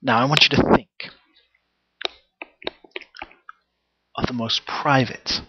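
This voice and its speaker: Man performing a slow, theatrical wounded travelling player. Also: medium pitch, bright, forceful tone, breathy edge, no room sound, exaggerated voice. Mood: quietly menacing. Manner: theatrical, emphatic, deliberate, with long pauses. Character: wounded, indignant theatrical travelling stage actor, male. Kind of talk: dramatic stage monologue. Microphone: headset. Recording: muffled, dull headset recording.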